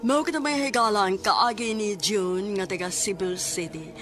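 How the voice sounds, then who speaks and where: A woman's voice: mid-range voice, normal speed, loud music behind the voice. A woman with a Cebuano accent hosting a radio drama program in a scripted announcer style. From Philippines.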